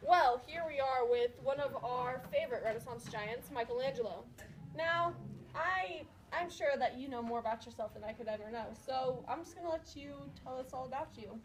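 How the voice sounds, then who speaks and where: A woman's voice: high voice, normal speed, slight background noise. A woman anchoring a mock news skit in a chatty, stumbling way. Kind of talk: acting out a mock news show.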